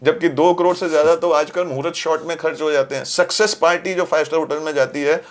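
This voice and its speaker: Higher-voiced man hosting a chat. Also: steady tone, fast. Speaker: interviewer and host of a film-talk show. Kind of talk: casual conversational interview about films.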